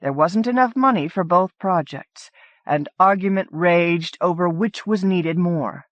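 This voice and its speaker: A woman reading a story as an audiobook narrator, in an expressive storytelling manner. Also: lowish voice, a little slow, dry recording, lo-fi sound. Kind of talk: scripted fiction narration.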